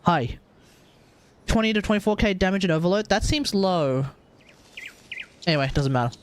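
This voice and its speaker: A man's voice: sits high, lively intonation, moderate pace. A man with an Australian accent chatting casually and ramblingly as a livestream host. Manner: rambling, mixes in Japanese phrases.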